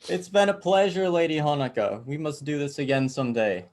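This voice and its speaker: A man's voice: a little high, fairly quick, darkish tone, close to an everyday voice. A man playing a smug, courteous feudal lord in a dramatic script reading, with a suave and formal manner.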